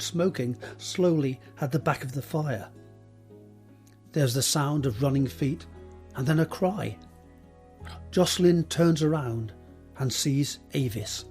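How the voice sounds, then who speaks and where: A man narrating scripted stage directions for an audio drama in a measured, descriptive reading. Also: a little high, darkish tone, faint music behind.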